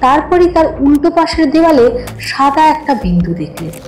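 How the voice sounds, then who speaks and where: A woman narrating a scripted horror audio story in a suspenseful, expressive way. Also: sits high, brisk. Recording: roomy echo, distant, loud music bed.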